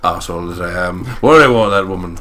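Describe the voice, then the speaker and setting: Bright, forceful low-voiced man. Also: fast talker. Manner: excitable, sweary, jokey ad-libs. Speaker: let's play host reading aloud. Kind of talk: dramatic reading of a visual novel with joking commentary. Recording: music behind the voice. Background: game music.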